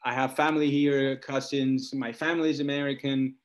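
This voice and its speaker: A man's voice: mid-range voice, level tone, a little fast. A man from Argentina, a musician being interviewed, chatting informally and telling his story with plenty of conversational asides. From Argentina, Buenos Aires. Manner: informal, talks in stories, often says "like" and "you know". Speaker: guest musician, singer-songwriter. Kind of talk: casual interview chat.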